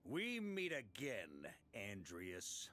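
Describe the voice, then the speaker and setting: An actor playing a confident, easygoing knight commander in a video game, speaking with relaxed authority. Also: male voice, on the low side, extremely slow, slight background noise, full character voice. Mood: calm, commanding. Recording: music under the voice.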